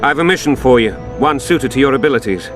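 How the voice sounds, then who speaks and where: A man voicing a shrewd, commanding king at war in a video game, regal, measured and authoritative. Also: medium pitch, lively intonation, music in the background, lightly acted. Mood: imperious, calculating.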